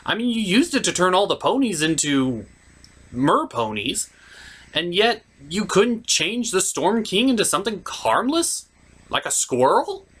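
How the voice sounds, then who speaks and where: A woman delivers a comedic movie recap in a sardonic, exclamatory way. Her pitch swings widely as she makes each point. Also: sing-song, unhurried, husky. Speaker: puppet show reviewer. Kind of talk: comedic movie recap with acted character voices.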